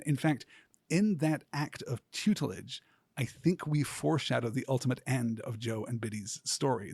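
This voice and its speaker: A man with a husky, slightly rough voice delivers scripted commentary on literature. His phrasing is measured and bookish, with deliberate pauses. The recording is close and dry. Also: mid-range voice, a little mellow, dry recording. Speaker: host of a literature podcast.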